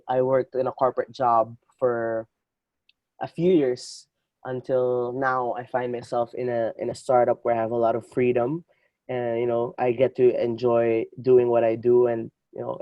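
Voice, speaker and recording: A man in his twenties from the Philippines, a podcast guest telling his story in lively casual talk full of fillers and restarts. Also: mid-range voice, moderate pace, dry recording, muffled recording. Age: 20s. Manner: energetic, many fillers and restarts, laughs often. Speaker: podcast guest, digital marketer. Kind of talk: casual interview chat.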